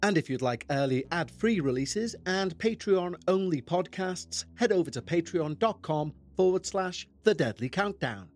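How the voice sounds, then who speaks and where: A man with a Liverpool accent hosting a podcast, reading true-crime narration in a measured, dramatic way. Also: high-pitched, animated, faint music behind. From England. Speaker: podcast host.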